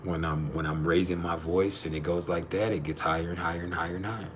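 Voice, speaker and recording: A man hosts a casual live chat in a naturally deep, low-pitched voice. He talks in a blunt, slangy way and swears freely. Music plays behind him as he speaks to his viewers. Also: steady tone, fast talker, slight background noise. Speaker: livestream host.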